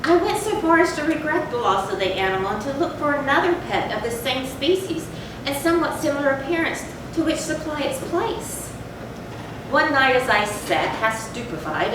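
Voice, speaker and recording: Woman as a tormented gothic narrator, echoey and distant. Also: expressive. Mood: reflective. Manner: theatrical, deliberate, emphatic. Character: guilt-tormented narrator of a gothic horror tale. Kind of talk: dramatic readers-theatre recitation of a gothic story. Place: live theatre stage. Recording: very echoey stage, distant mic, noisy rumble.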